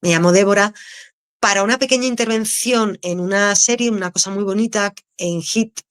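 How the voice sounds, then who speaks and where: A woman, an actress, speaking with a Canarian accent, answering interview questions in a candid, expressive way and laughing easily. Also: mid-range voice, moderate pace. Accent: Canarian Spanish. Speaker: actress, guest.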